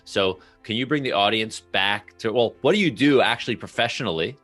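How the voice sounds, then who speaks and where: A man hosts an interview podcast. His voice sits on the low side but has a sharp, forceful brightness. Light music plays faintly behind him. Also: brisk. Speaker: podcast host. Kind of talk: podcast intro and interview hosting.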